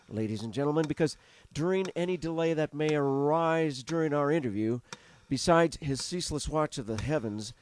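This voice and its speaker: An actor playing an earnest radio news reporter in a 1930s-style radio drama, speaking in a formal, dramatic announcer manner. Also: male voice, fairly high pitch, a little mellow, natural voice. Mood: eager, inquisitive. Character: earnest male radio news reporter interviewing on live air. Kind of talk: 1930s radio acting, live news commentary. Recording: noisy old radio recording with clicks.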